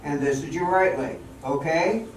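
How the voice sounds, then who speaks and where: A man's voice: a little high, normal speed, a little hiss or hum, lightly acted. A man playing an exacting, cocksure professional dancer in a theatrical amateur radio drama, with a commanding, instructive manner. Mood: instructing. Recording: echoey hall, distant mic, music behind.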